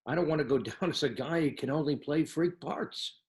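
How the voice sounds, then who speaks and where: A man's voice: on the high side, brisk, darkish tone, natural voice. An actor playing a reluctant, wary screen actor in his thirties in a screenplay read.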